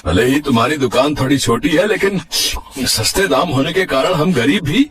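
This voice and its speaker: Man as an enthusiastic villager, highish voice. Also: animated, normal speed, husky. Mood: delighted. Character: enthusiastic poor village customer praising food.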